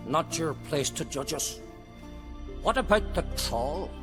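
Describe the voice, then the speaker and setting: A slightly high-voiced proud village leader from a fantasy game. He talks slowly and haughtily, with music clearly heard behind him. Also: lively intonation. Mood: scornful. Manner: measured, haughty. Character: proud, curt male seafaring village leader. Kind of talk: video game character acting.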